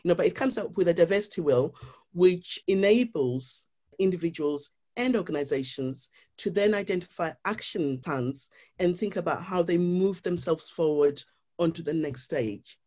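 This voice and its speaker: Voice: mid-range voice, normal speed, darkish tone. Earnest speaker with a Zimbabwean accent. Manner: earnest, explanatory, with frequent "you know". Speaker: guest. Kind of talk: reflective interview talk.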